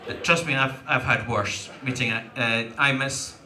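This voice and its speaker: A man's voice: medium pitch, unhurried, sharp tone. A man with a Scottish accent, a panel host chatting to an audience in a wry, digressive, emphatic way. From Scotland. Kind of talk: casual chat and anecdotes to an audience. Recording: echoey convention hall PA.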